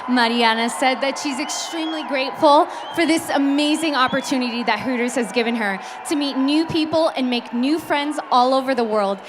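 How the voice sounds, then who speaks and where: A woman hosting a pageant, announcing contestants in a loud, projecting voice on a large stage. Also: high-pitched, noisy background. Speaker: pageant host. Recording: live event with loud music and crowd. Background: loud music and cheering crowd.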